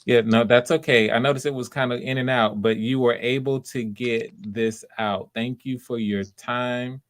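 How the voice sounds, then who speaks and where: A man's voice: mid-range voice, fairly even intonation, normal speed. A man casually hosting live-stream auditions as casting director, speaking in an encouraging way. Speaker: casting host.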